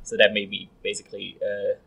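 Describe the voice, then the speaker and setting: A young man from Switzerland with a fairly low, husky voice. He explains things in a casual, conversational way as an interview guest. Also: completely flat delivery, brisk, pushed and bright, poor audio. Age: young adult. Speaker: podcast guest.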